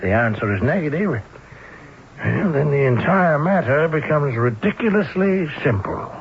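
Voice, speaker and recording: A man playing a shrewd gentleman detective in a radio mystery, precise, erudite and a little pompous. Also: fairly high pitch, expressive, normal speed. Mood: probing. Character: shrewd, self-assured gentleman sleuth, coolly probing. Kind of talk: 1970s radio mystery acting. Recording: muffled old radio recording, faint hiss.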